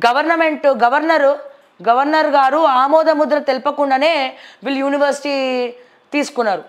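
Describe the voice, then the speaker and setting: A woman's voice: high-pitched, steady tone, moderate pace. A woman with a Telangana accent presenting news headlines with informal, outspoken commentary and some English words mixed in.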